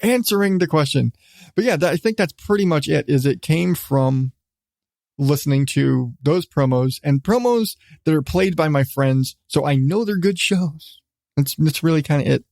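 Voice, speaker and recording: A middle-aged man hosting a casual solo podcast, talking in a rambling, halting way with repeated restarts. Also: fairly high pitch, lively intonation, creaky, a little mellow, dry recording, studio quality. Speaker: podcast host.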